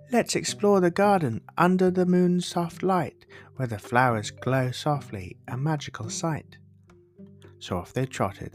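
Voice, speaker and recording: Guy reading a kids bedtime story, mid pitched voice, quiet guitar music behind. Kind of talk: scripted bedtime story narration.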